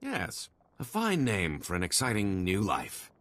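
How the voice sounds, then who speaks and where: A man playing a slippery, boastful middle-aged fugitive con artist in a video game, talking in theatrical, wheedling salesman patter with a husky voice. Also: fairly low pitch, wildly swooping pitch, a little slow, a bit bright, full character voice. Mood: upbeat, slick.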